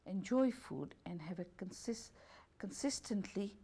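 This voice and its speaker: Gravelly, mellow-voiced woman reading options. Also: medium pitch, lively intonation. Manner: unhurried, reads options as A, B and C. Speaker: holistic health and beauty therapist. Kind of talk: reading out a questionnaire.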